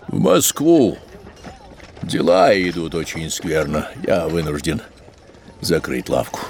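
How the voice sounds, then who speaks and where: An actor playing a careworn middle-aged father, a gently apologetic shopkeeper with a gravelly voice, in an audio drama. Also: a bass voice, very animated, slow pace, a little hiss or hum, exaggerated voice. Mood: heavy, tender. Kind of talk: Russian audio drama acting.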